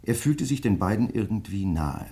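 A courteous crime writer in a radio play. His voice sits on the low side, and he speaks with measured, deliberate politeness, quietly pressing his point as he probes a fellow writer. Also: big pitch swings. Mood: measured, reading aloud. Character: courteous, insistent male crime novelist probing a fellow writer. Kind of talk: German radio play acting.

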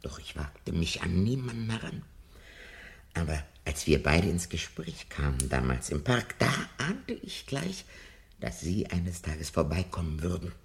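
An actor playing a timid, anxious old literature professor in a 1970s German radio play. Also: male voice, sits low, sing-song, unhurried, gravelly, exaggerated voice. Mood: earnest. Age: older.

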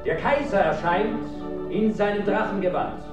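High-voiced solemn court herald played by a man. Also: lightly acted. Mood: solemn, ceremonial. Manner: formal, measured proclamation. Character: solemn imperial court official proclaiming wedding ceremonies. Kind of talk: ceremonial radio drama recitation. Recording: roomy, distant, with loud music.

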